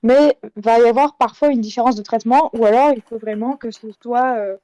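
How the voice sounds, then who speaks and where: A woman speaks as a panelist in a debate. Her voice is fairly high yet very mellow, recorded dry, and she hesitates as she carefully qualifies what she says. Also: fairly quick, dry recording, poor audio. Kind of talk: thoughtful debate talk about audio fiction.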